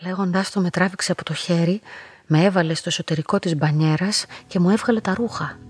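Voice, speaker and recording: An actress playing a young, lonely woman who narrates her own story in a radio drama, soft, restrained and matter-of-fact. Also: fairly low pitch, steady tone, breathy edge. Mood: quiet, detached. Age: young adult. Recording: music behind the voice.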